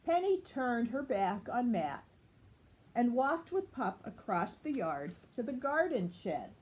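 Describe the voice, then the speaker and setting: Slow, lively older woman reading a storybook. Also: a little high, poor audio. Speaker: grandmother reading a children's story.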